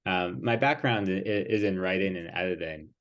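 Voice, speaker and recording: Male author guest, low voice, thoughtful, hesitant, lots of ums. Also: moderate pace, a bit bright. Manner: thoughtful, hesitant, many fillers. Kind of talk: interview conversation.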